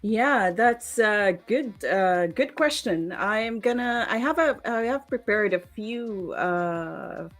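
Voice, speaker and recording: Woman from the philippines on a headset video call, laughs a lot, lots of uh pauses, friendly. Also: mid-range voice, animated, faint music behind. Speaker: guest speaker, software engineer. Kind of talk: casual video-call interview. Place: home room with plants behind.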